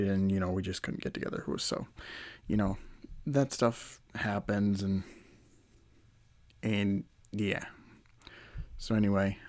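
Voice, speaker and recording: A man's voice: lowish voice, darkish tone. A man hosting a solo podcast in casual, rambling, hesitant talk, his voice slightly nasal from a runny nose. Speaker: podcast host.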